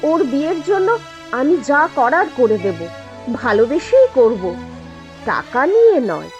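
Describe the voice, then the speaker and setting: Cartoon role: woman as wounded, dignified poor mother, lively high pitch, muffled lo-fi dub. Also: unhurried, soft background music, heavily acted. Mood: earnest, caring. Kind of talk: cartoon voice acting.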